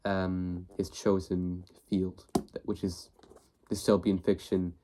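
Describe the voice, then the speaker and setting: Young male host, a bit sniffly from a cold, low voice, loose rambling delivery. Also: little pitch movement, slow, warm tone, a little hiss or hum. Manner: rambling, many fillers and restarts. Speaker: book video host. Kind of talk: casual talk to camera.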